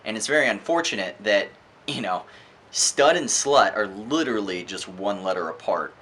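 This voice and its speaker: A man's voice: fairly low pitch, expressive, moderate pace, fairly bright tone. A man giving an informal, opinionated book review monologue in a conversational, emphatic way, repeating words for effect.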